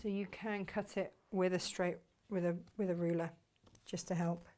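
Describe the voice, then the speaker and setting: Chatty woman, slightly croaky. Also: fairly low pitch, level tone, normal speed, dark tone. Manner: chatty, self-deprecating, talks to viewers while working. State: croaky from hay fever. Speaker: sewing tutorial host. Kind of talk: casual craft demonstration.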